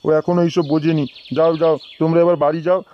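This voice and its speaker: A man's voice: a little high, level tone, fast talker, lo-fi sound, natural voice. A man voicing a flustered, pleading old village father in a comedy cartoon, with a West Bengal accent. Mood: anxious, pleading. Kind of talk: amateur comedy cartoon dubbing. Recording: faint background noise.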